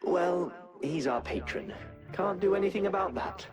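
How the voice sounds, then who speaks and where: An actor playing an obsessed, embittered research scientist in a video game, earnest and intense. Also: male voice, high voice, lively intonation, moderate pace. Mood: resigned. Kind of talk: dramatic video game voice acting. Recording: loud music behind the voice.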